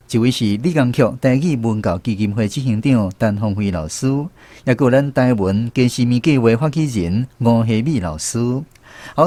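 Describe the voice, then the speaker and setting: A man's voice: mid-range voice, dark tone. A man with a Taiwanese accent presenting a segment as a radio show host. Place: radio studio.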